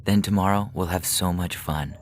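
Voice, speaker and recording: A man's voice: sits low, fairly even intonation, normal speed, voice pushed up, lightly acted. A man voicing a devoted mother in a science fiction story, tender and reassuring but firm, hiding her dread. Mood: stern, coaxing. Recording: music behind the voice.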